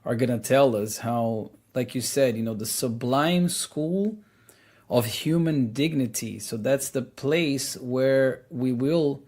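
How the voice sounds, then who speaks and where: A man's voice: medium pitch, slow, darkish tone. A man in his thirties co-hosting a spiritual talk, explaining earnestly with many "you know"s and "like"s. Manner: earnest, explanatory, filler-heavy. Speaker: podcast co-host. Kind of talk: casual spiritual discussion.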